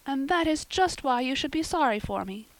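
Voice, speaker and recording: Woman reader doing an imaginative, solemn girl; raised pitch, dry close sound. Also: lively intonation, normal speed, no room sound. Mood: plaintive. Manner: high-flown, earnest phrasing. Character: dramatic imaginative young girl, solemn and earnest.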